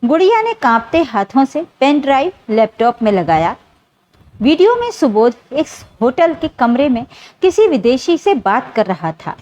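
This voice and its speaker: A female narrator delivers a scripted dramatic story in a high voice. Her intonation swings widely, and she tells it in an emotive storyteller's manner. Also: sing-song, moderate pace, a little mellow.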